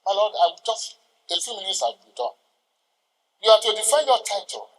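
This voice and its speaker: A man's voice: high voice, sing-song, fairly bright tone. Older Nigerian man orating in a reverberant hall. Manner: oratorical, exhorting, deliberate with emphatic pauses. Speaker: senior lawyer addressing a ceremony. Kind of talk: formal ceremonial speech. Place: large indoor courtroom. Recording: reverberant hall with long echo.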